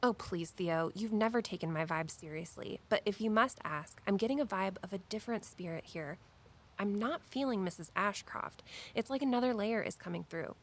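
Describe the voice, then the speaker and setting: An actress playing a caring, dreamy female tour guide in an audio drama. She talks in an earnest, conversational way at a medium pitch, with noticeable vocal fry. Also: normal speed, close to an everyday voice. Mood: earnest, intuitive. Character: earnest, mystically minded daughter and walking-tour guide.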